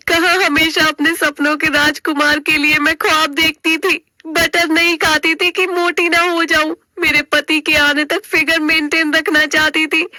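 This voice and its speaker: A woman's voice: extremely high pitch, pushed and bright, dry recording, pitched above the performer's own voice, heavily acted. A woman voicing a melodramatic young sister-in-law, indignant and comically aggrieved, wailing her complaints.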